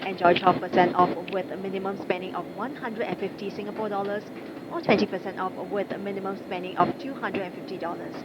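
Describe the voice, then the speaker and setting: Very loud aircraft noise surrounds a woman making a scripted cabin crew announcement. Her voice is mid-pitched and comes through slightly dull, with limited high end. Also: fairly even intonation, fast talker. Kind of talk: live scripted passenger announcement.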